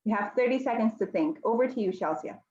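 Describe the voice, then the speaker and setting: A woman's voice: a little high, expressive, fast talker, dark tone. A woman from Mozambique hosting an online club meeting over a video call, giving friendly instructions with frequent ums. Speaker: meeting host. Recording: echoey, dull audio.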